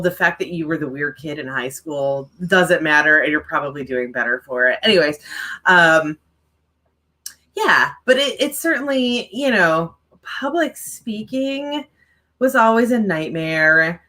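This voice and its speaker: Woman co-host with a mild stutter and a bright, forceful tone, rambling casually to an online audience. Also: lowish voice, lively intonation, a little slow. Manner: rambling, with many fillers. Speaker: writing livestream co-host and author. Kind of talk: casual chat to viewers.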